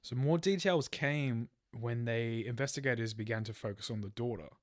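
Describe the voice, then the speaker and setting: A man's voice: medium pitch, moderate pace, no room sound, studio quality. A man telling a true crime story as a podcast host, partly from a script. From Australia.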